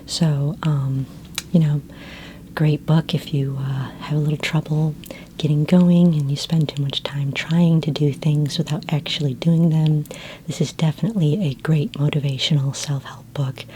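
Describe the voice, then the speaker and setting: A woman speaking in a soft, breathy near-whisper, gently and unhurriedly playing a friendly, helpful librarian in an ASMR roleplay. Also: low voice, steady tone, moderate pace, slight background noise.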